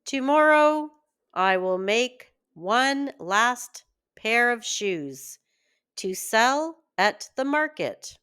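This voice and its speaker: A woman's voice: on the high side, very animated, drawn-out words, a bit bright, clean tone, exaggerated voice. A woman voicing a humble, grateful male shoemaker in a children's fairy tale. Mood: sad, resolved.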